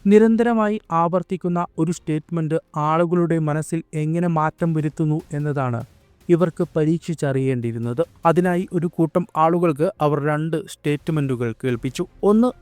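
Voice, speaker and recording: A man from narrates a scripted video essay in a measured, expository manner. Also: fairly high pitch, a little mellow, dry recording. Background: faint background music.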